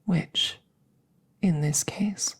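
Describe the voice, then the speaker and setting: A woman voicing a formal, curious alien examiner in an ASMR roleplay, soft and breathy, often whispering, with precise clinical phrasing. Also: fairly low pitch, extremely slow, heavily acted. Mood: clinical, hushed. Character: formal, curious female alien examiner interviewing a rescued creature.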